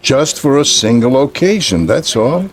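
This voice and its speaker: A man acting a gentle, cryptic old street peddler in a radio thriller, speaking in a measured, deliberate way. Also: medium pitch, big pitch swings, faint music behind. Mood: cryptic, calm. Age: older.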